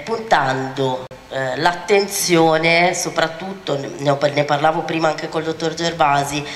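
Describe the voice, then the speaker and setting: A woman's voice: sits low, slight background noise. A woman moderating a conference panel on stage, speaking into a handheld mic in a hesitant way with many fillers and restarts. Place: large conference hall, seated on stage. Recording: echoey hall with faint ambience.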